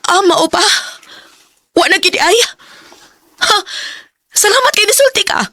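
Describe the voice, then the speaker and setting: Radio drama lead: proud, wounded young Cebuano woman, high voice, close dry recording. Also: unhurried, dry recording, full character voice. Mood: hurt, pleading. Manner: dramatic, emotionally expressive delivery. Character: heartbroken, proud young female office worker, curt and wounded. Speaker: lead actress and first-person narrator. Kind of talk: Philippine radio drama acting, emotional.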